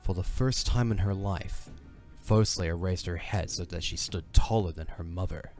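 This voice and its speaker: A man's voice: low-pitched, moderate pace, a little mellow, faint music behind. A man narrating a fantasy audio drama in a dramatic, scripted storytelling style.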